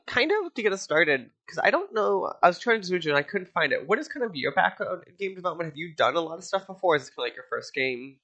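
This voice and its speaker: A man's voice: sits high, sing-song, fast, few pauses, bright, forceful tone. A man hosting a game interview podcast, sounding nasal from a cold and joking casually with his guests. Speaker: podcast host. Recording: dull, muffled audio.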